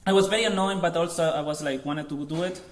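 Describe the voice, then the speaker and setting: A man from Chile in his thirties with a Chilean accent, giving an informal artist talk to an audience in a halting way, searching for words. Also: a little high, fairly even intonation. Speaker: visiting artist.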